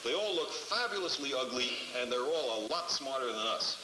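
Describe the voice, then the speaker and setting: A man makes thoughtful, wry remarks with lively swings in pitch. The room is echoey and the old film sound is clearly noisy. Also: moderate pace. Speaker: scientist.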